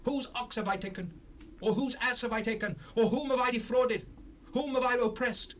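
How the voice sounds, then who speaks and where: Scripture drama, old grey-headed prophet: high voice, stern oratory, distant sound on a noisy cassette rip. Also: male voice, fairly even intonation, normal speed. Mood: impassioned. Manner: solemn, declamatory, formal. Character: old grey-headed prophet, stern, righteous leader addressing his people.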